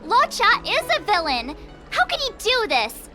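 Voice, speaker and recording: Feisty young game heroine, righteous and dramatic, very high voice with big swoops, music behind. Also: female voice, huge pitch swings, a little slow, exaggerated voice. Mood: indignant. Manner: dramatic, emphatic. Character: feisty, righteous young girl detective, indignant and excitable. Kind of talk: video game character acting.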